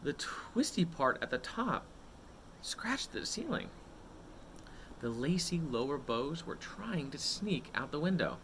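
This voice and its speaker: A man's voice: a little high, very animated, slow, fairly bright tone, slight background noise. A man hosting a read-aloud for children, reading a picture book expressively and addressing his young listeners directly.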